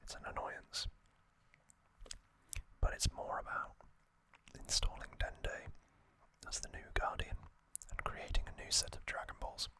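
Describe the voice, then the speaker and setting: A man whispering an ASMR trivia recap in a breathy, unvoiced voice, gently and in short, drawn-out phrases. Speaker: ASMR host.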